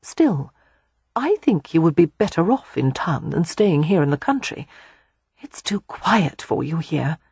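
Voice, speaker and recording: A woman voicing a gentle, caring older sister who speaks softly and kindly. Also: low-pitched, big pitch swings, measured pace, husky, no echo, heavily acted. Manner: soft, kindly.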